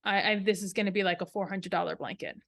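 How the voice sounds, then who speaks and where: A woman's voice: medium pitch, fairly even intonation, fast, gravelly, a bit bright. Rambling woman chatting casually about crafts. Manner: rambling, thinking aloud. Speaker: knitting podcast co-host. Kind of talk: casual chatty show-and-tell.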